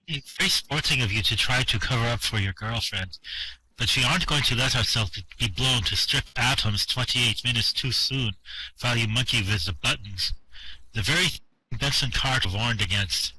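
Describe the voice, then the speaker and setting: An actor playing a cool, sardonic male leader in an amateur radio play reading, measured and coldly reasonable. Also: mid-range voice, bright, forceful tone, husky, poor audio.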